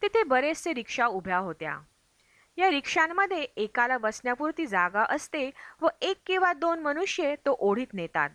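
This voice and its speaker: A woman's voice: high-pitched, very animated, moderate pace, fairly bright tone, dry recording. A woman reading a travel memoir aloud as scripted audiobook narration. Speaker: audiobook reader.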